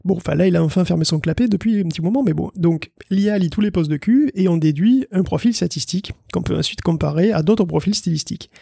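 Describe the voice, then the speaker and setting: A man with a gravelly, husky voice that sits a bit high and sounds dark and mellow. He co-hosts a tech podcast in a loose, joking chat, stumbling through fillers and restarts. The sound is dry and close. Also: fast, hardly any pauses, no room sound. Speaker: podcast co-host.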